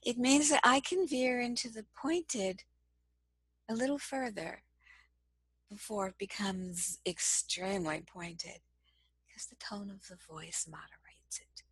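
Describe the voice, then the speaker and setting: A woman with a soft voice giving a slow, measured, gentle lesson on communication as a trainer. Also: medium pitch, breathy edge, dry recording, low-quality recording. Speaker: cross-cultural communication trainer. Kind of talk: instructional lesson to camera.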